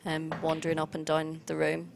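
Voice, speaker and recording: A woman's voice: sits low, completely flat delivery, normal speed, creaky, a little hiss or hum. A woman co-commentating live on a pool match in a chatty way, with frequent fillers.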